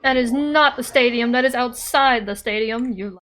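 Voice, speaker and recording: A woman's voice: on the high side, moderate pace, a bit bright. A woman streaming a video game with casual, playful commentary, blunt and full of exclamations. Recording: game music behind the voice. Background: game music.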